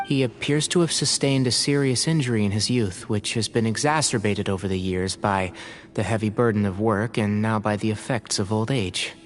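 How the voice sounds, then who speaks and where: Calm, tactful scholar-medic voiced by a man. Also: fairly low pitch, moderate pace, a little hiss or hum, natural voice. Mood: grave, gentle. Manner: thoughtful, careful, polite. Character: calm, tactful male traveling physician-scholar, gently serious. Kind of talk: video game voice acting.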